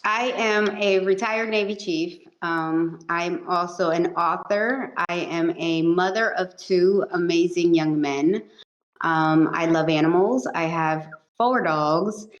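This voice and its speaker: A woman's voice: on the low side, takes it slowly, echoey room, lo-fi sound. A woman in her forties who grew up in the Northeast, answering interview questions in a laid-back, straightforward way. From Puerto Rico, raised in the Northeastern US. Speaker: guest, life coach.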